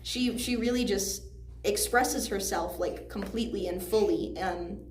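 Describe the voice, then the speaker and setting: A woman's voice: mid-range voice, measured pace. A teenage girl, a student actor, giving earnest, reflective answers in a casual interview, often hedging as she goes. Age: teens, high school student. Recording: roomy echo, faint background noise.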